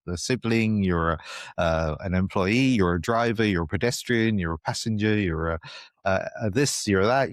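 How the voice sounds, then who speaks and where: Low-voiced British man, thoughtful. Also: dry recording, clean studio sound. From United Kingdom. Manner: reflective, explains with lists and frequent "you know" and "right?". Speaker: podcast guest. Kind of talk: conversational interview.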